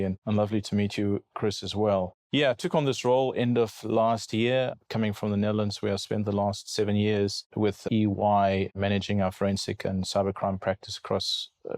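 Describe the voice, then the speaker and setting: A middle-aged South African man with a lowish voice that stays flat and even. He answers podcast questions in a measured way, often restarting and repeating a word before going on. The sound is close and dry. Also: no room sound. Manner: measured, repeats words while thinking. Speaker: guest cybersecurity lawyer. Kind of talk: podcast interview.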